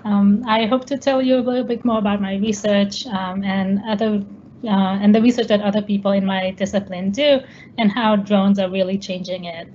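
A woman's voice: medium pitch, steady tone, moderate pace, darkish tone. Israeli-born woman lecturing over a video call. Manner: explanatory, many ums, slightly non-native phrasing. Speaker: guest scientist. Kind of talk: online presentation lecture. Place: home room. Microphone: laptop webcam video call. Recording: dull lo-fi video call with background noise.

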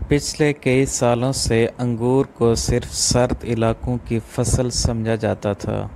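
A man calmly narrating his farm vlog in a measured, informative way. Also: medium pitch, gravelly, slight background noise. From Pakistan. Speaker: vlogger. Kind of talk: voice-over narration of a village vlog.

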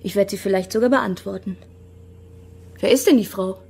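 Husky, guarded young woman. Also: medium pitch, moderate pace, dark tone. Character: composed, evasive young woman under questioning. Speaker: actor in a radio play. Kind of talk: German radio drama acting.

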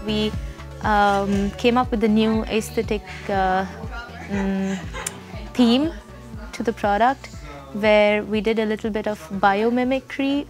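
A woman's voice: medium pitch, steady tone, slow pace. A woman in her twenties from India with an Indian accent, speaking unscripted as a design student in an interview. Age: 20s. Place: busy classroom studio, indoors. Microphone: lapel mic. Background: soft background music.

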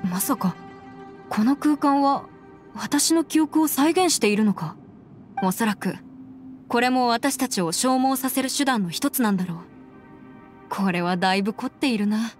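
A woman's voice: on the high side, expressive, normal speed, long pauses, close to an everyday voice. A woman playing a composed, earnest young female adviser in an anime game, with matter-of-fact, mannish phrasing over background music. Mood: pensive.

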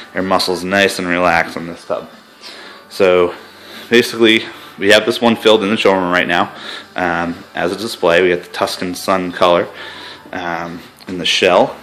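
Low-voiced man giving a showroom tour. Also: a bit bright, low-quality recording. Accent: New England. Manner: casual, filler-heavy sales pitch. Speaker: hot tub salesman. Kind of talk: walkthrough product tour. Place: indoor spa showroom. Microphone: handheld camera, speaker behind it.

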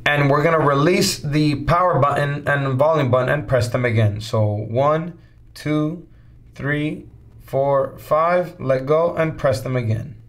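A man hosting a tech tutorial, explaining the steps in a relaxed, repetitive way, recorded at a desk indoors. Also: a little high, a little slow. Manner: relaxed, repetitive walkthrough with "gonna" and "all right". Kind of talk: casual step-by-step tutorial.

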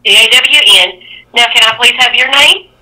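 A woman with a very bright, sharp, fairly high voice. She talks on the phone like an office receptionist, firm and procedural. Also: normal speed. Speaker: district attorney's office receptionist. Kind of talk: phone conversation.

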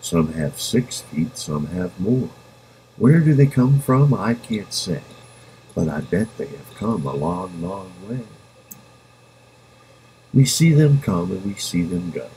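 A man reading a children's rhyming book aloud in a playful, sing-song manner with drawn-out words. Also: lowish voice, big pitch swings, vocal fry, dark tone, low-quality recording. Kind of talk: expressive storybook read-aloud in rhyme. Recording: home recording with background noise.

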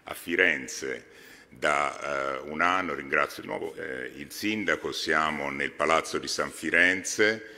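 A man in his fifties addresses a large hall from a podium microphone. His voice sits very low yet carries a bright, forceful edge, and it rings with a long reverb. He pauses and hesitates often as he speaks. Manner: halting, frequent hesitations. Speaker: foundation president. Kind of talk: formal speech at a podium. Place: large exhibition hall stage.

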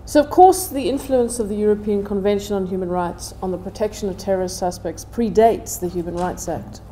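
A middle-aged woman delivering a prepared seminar talk as a panelist, with measured, deliberate phrasing. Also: mid-range voice, dark tone, a little hiss or hum. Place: indoor seminar room, seated at table.

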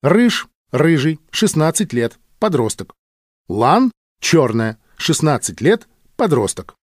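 Guy reading an audiobook, measured and precise, very varied intonation, dry close sound. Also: a little high, very animated, dry recording. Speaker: audiobook narrator. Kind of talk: scripted audiobook narration.

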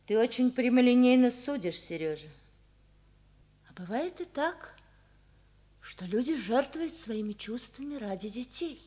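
An actress playing a proud, loving mother with a gentle, expressive manner in a Soviet radio play. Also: a little high, unhurried, long pauses. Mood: measured, reasoning. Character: proud, calm, loving mother of a teenage son.

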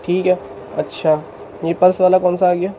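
A man's voice: high voice, flat delivery, normal speed, noisy background. A man hosting a casual shop-visit video, asking about products and repeating the answers back with short approving remarks. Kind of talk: casual interview. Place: indoors in a clothing shop.

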